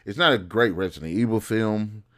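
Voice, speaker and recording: A man's voice: on the low side, normal speed, a bit bright. A man hosting a review show with casual, opinionated commentary, speaking informally and often repeating and rephrasing himself as he goes. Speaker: host of a film review show.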